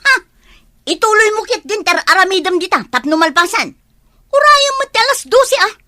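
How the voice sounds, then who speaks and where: Shrill, scolding Ilocano aunt. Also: female voice, lively intonation, normal speed, no room sound, clean studio sound, heavily acted. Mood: scolding, impatient. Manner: sharp, commanding, threatening. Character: harsh, scolding, bad-tempered aunt. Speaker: radio drama actress. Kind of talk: Filipino radio drama acting.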